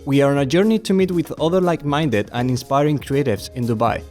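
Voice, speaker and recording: A man's voice: mid-range voice, quick pace, darkish tone, faint music behind. A man presenting and reading scripted narration for a travel documentary. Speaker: presenter, architect and photographer.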